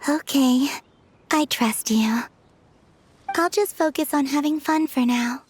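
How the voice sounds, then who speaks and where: A woman playing a gentle, wistful young woman in an anime game, with a soft, breathy voice. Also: high voice, slow pace. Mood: trusting.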